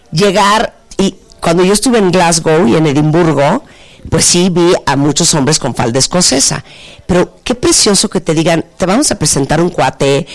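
A woman in her fifties hosting a talk show, playful and teasing, full of exclamations. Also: fairly low pitch. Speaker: host. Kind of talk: lively talk show chat.

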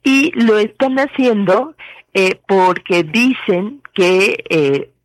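A woman with a Mexican accent, speaking as a legal expert guest and explaining things methodically. She pauses often and fills the gaps with short fillers. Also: mid-range voice, expressive, no room sound. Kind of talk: explanatory commentary.

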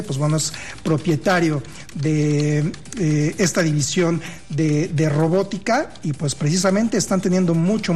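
A man's voice: fairly high pitch, darkish tone, a little hiss or hum. A man with a Mexican accent co-hosting a radio show, commenting on news in a friendly, informal way. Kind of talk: conversational automotive news commentary.

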